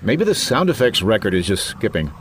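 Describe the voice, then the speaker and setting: A man's voice: lowish voice, very animated, a little fast. A man hosting a comedy show, trading banter. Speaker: host. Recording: clear background noise.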